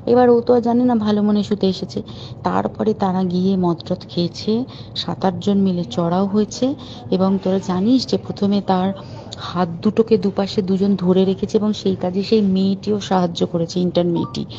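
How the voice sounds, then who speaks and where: A woman's voice: mid-range voice, fairly even intonation, moderate pace, dark tone. A woman on a private phone call, talking informally and urgently with open indignation. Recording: muffled phone call recording with faint hiss.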